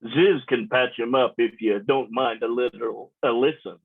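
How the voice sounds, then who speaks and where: Guy doing cocky froggy space outlaw, husky drawl, slangy party animal, comedy read, tinny phone-like audio. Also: mid-range voice, measured pace. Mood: breezy, cocky. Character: swaggering, laid-back male alien frog outlaw, party animal. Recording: muffled, phone-like narrow sound.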